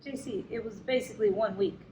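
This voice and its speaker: A woman co-hosting a live talk show, chatting casually with frequent laughter and quick interjections. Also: mid-range voice, dark tone, roomy sound, slight background noise, poor audio. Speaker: co-host and burlesque show host.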